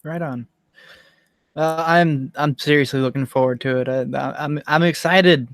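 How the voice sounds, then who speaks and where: High-voiced American man, stammering podcast guest, dry close mic. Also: fairly even intonation, moderate pace, fairly bright tone, no echo. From United States. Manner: stammers with repeated starts, laughs often. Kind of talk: casual podcast chat.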